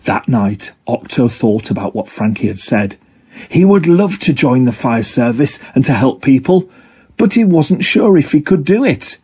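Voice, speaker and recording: A man narrating a scripted children's story in an expressive, deliberate way. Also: mid-range voice, slightly rough.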